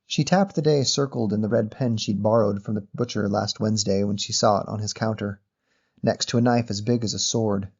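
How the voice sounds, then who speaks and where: A man reading a literary short story as narrator in a measured, descriptive manner. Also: lowish voice, fairly even intonation, husky, muffled recording.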